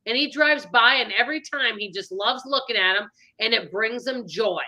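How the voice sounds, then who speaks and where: A woman with a Minnesota accent hosts a casual live stream, chatting in an upbeat, rambling way. Her voice is bright and a little high. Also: moderate pace, low-quality recording. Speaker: channel host.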